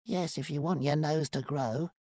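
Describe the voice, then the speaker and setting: A man voicing a fussy male goblin who makes potions in a storybook, speaking high and slow. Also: mellow, dry recording. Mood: insistent.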